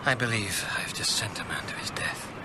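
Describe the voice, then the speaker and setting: An actor playing an anxious, hunted British gentleman in a radio drama, nervous and hesitant, often muttering his thoughts under his breath. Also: lowish voice, normal speed, lightly acted. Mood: shaken. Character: anxious, hunted gentleman steeling himself in secret. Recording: radio drama with clear background noise.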